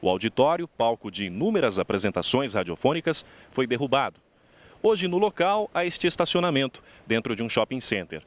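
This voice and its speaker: A man's voice: medium pitch, big pitch swings, a little fast. A man with a Brazilian accent reads scripted documentary narration. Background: background music.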